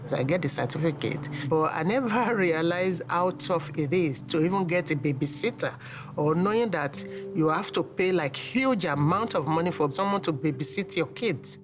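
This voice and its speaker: Middle-aged Nigerian woman, low voice, over music. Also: animated, normal speed. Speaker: interviewee, mother of an athlete. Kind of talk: personal storytelling in an interview.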